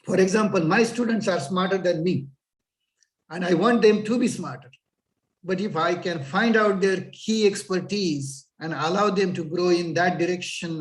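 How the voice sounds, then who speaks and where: Middle-aged Indian man, Marathi native, lecturing on a call; high voice, a bit far from the mic. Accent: Indian English. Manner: earnest, advising, many fillers. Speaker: guest lecturer.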